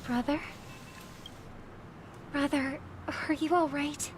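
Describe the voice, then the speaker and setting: A woman playing a gentle young sister with an earnest, concerned manner. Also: sits high, steady tone, slow pace, noisy background. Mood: concerned, tender. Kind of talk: game voice acting.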